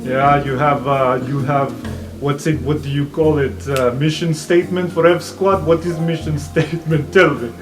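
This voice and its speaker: A man's voice: fairly high pitch, steady tone, normal speed, soft background music, lightly acted. A man voicing a brash, friendly foreign airship traveler who teases in clipped, broken English for a fantasy game. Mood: teasing, curious. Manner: clipped broken English, dropped articles, teasing.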